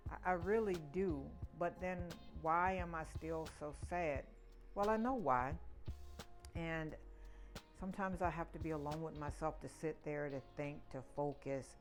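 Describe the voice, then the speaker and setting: A woman's voice: deep voice, fairly even intonation, a little slow, soft background music. A woman in her sixties sharing personal reflections in conversation, emphatic and repeating words for stress. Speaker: podcast guest, the host's mother.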